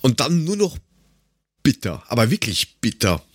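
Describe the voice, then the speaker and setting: Husky man bantering, lively pitch. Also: moderate pace, dry recording, studio quality. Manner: colloquial, jokey, exclamatory. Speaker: podcast host. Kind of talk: casual banter, tasting snacks and drinks.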